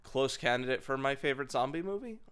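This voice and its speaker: A man on a podcast panel giving casual, emphatic film opinions in halting, informal phrasing. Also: mid-range voice, animated, a bit bright, smooth. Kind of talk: casual film review chat.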